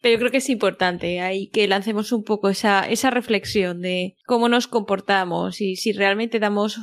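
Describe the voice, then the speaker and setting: A woman's voice: medium pitch, normal speed, dry recording. A woman co-hosting a podcast who speaks reflectively, often restarting her phrases. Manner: thoughtful, with repeated restarts. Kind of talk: reflective conversation.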